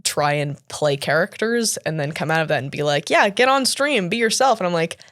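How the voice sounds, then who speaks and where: A young Canadian woman with a deeper voice than people expect. She chats loosely as an interview guest, restarting sentences and laughing between thoughts. The sound is close and dry. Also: animated, brisk, a bit bright, dry recording. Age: 20s. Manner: chatty, laughs often, many fillers and restarts. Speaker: guest, actor and cosplayer. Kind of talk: casual interview chat.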